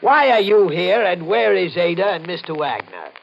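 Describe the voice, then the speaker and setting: Suspicious, questioning man, animated intonation. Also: sits high, vocal fry. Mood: puzzled questioning. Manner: brisk, questioning, incredulous. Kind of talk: old-time radio mystery acting. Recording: muffled, hissy old radio recording.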